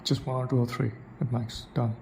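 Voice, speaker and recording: A man's voice: medium pitch, flat delivery, brisk, creaky, noisy background. A man with an Indian English accent, thinking aloud in a reflective solo monologue, restarting his sentences often. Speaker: podcaster.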